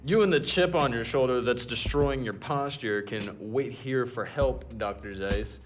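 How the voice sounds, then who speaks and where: A man's voice: mid-range voice, unhurried, over music, lightly acted. A man playing an impatient, coolly arrogant genius doctor who speaks with dry, pompous precision in an audio drama.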